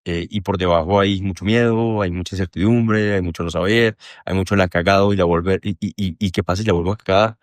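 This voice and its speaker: A man's voice: sits low, fairly even intonation, fairly quick, few pauses, no echo. A young man with a Colombian accent, a podcast guest talking candidly and at length, rambling and self-deprecating, swearing freely. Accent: Colombian Spanish. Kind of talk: candid casual conversation.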